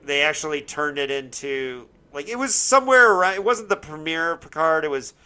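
A man's voice: on the high side, animated, brisk, pushed and bright, smooth. A man hosting a casual discussion, rambling and correcting himself as he searches for words. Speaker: podcast host. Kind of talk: casual chat, TV show discussion.

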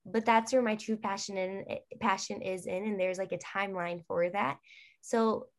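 A young woman talking casually as an interview guest. She rambles, circling back with fillers, at an ordinary middle pitch. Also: fairly even intonation, normal speed. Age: young adult.

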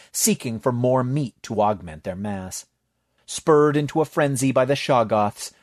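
A man reading a horror comedy story aloud in a measured storytelling manner. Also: mid-range voice, a little mellow, no echo, studio quality. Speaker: narrator. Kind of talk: scripted story narration.

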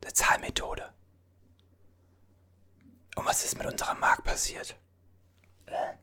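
A performer whispering as a bewildered time traveler from an older era, puzzling over strange objects in a halting voice full of restarts. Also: sharp tone. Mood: puzzled. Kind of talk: whispered ASMR roleplay.

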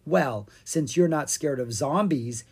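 A reader doing a cautious young girl dragon, husky. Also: mid-range voice, takes it slowly, darkish tone. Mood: nervous, hushed. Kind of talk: animated children's storybook character reading.

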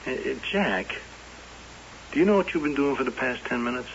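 A man's voice: mid-range voice, lively intonation, natural voice. An actor playing a nervous, stammering male carnival worker in a radio drama. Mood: uneasy. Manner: halting, stammering. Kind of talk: 1970s radio drama acting. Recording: hissy, dull old radio broadcast.

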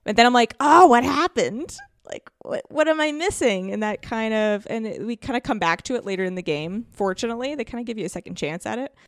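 A woman's voice: on the high side, expressive, a little fast, hardly any pauses, no echo, studio quality. A woman guest on a podcast, chatting casually and retelling things in an emphatic way. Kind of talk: casual chat.

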